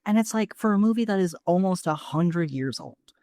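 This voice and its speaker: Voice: mid-range voice, expressive, moderate pace, warm tone, no echo, clean studio sound. A podcast co-host chatting casually and enthusiastically, with plenty of slang and swearing.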